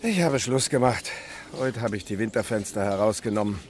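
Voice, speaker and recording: A man's voice: lowish voice, a little fast, natural voice. An actor playing a gossipy, grumbling, easily astonished working man in a radio drama. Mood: chatty, grumbling. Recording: faint outdoor birdsong noise.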